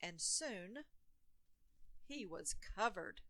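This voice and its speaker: A woman's voice: medium pitch, lively intonation, extremely slow, fairly bright tone, no room sound. A woman reading a rhyming children's picture book aloud in a theatrical, emphatic storytelling manner.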